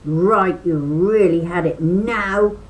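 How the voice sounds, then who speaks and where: Storybook part: a shrill, cheeky trickster rabbit who hollers, read aloud by an older woman in a squeaky raised voice. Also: expressive, slow, a little mellow. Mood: angry. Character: cheeky, hot-tempered male trickster rabbit.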